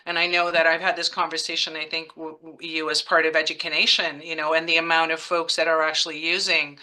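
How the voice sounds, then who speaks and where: A woman's voice: low voice, level tone, quick pace, pushed and bright. A woman from the Czech Republic, a nurse and psychedelic therapist, asking a question in a rambling, enthusiastic way. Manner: enthusiastic and rambling, many "you know" fillers. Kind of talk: Q&A comment.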